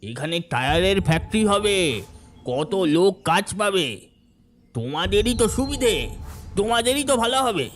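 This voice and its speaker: A man's voice: sits high, sing-song, a little slow. A man acting as an arrogant, bullying landowner in a cartoon, taunting and boastful. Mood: smug. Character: arrogant bullying male landowner with political connections. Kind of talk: cartoon story dialogue.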